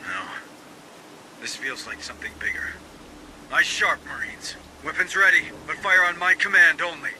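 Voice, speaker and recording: An actor playing a gruff, protective Marine sergeant, terse and commanding, in a video game. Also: male voice, a little high, lively intonation, moderate pace, background noise, natural voice. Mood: grim. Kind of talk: scripted game military dialogue.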